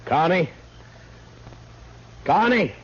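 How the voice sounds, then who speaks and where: An actor playing a wry, weary US marshal with an American accent in an old-time radio western. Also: male voice, a little high, very animated, very slow, slightly rough, exaggerated voice. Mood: alarmed. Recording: dull old radio recording, some background noise.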